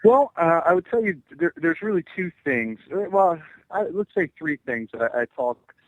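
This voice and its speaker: An American man in his twenties giving earnest, somewhat halting interview answers as a political candidate. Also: a little high, lively intonation, dry recording, poor audio. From New Jersey, USA. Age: 20s. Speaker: congressional candidate. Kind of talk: interview answers, campaign talking points.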